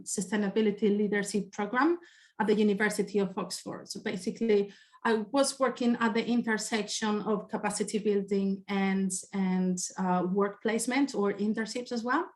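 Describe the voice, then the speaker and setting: Spanish-accented woman presenting on a webinar. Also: medium pitch. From Spain. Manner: hesitant, many fillers and restarts. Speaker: sustainability staff member presenting. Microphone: video call.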